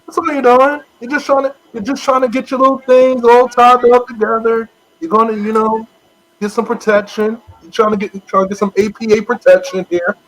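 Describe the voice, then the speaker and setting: A man co-hosting a casual wrestling podcast, talking in an animated way and addressing wrestlers directly. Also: extremely high pitch, fairly quick, low-quality recording. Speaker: podcast co-host. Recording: faint background noise.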